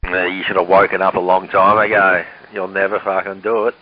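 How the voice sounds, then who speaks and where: Deep blunt man on CB radio. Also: level tone, fairly quick. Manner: blunt, sweary. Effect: CB radio transmission. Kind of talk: casual radio chat. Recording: muffled hissy CB radio transmission.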